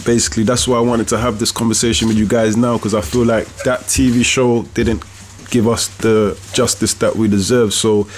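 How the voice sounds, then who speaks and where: A man hosting a reflective podcast conversation, speaking in an earnest, deliberate way. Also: on the low side, flat delivery, a little hiss or hum. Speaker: podcast host and author.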